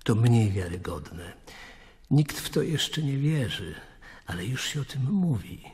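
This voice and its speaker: An actor playing a grave, wary high priest in a radio play. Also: male voice, very animated, slow pace, darkish tone. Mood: worried, calculating. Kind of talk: dramatic radio play acting. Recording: long hall reverb.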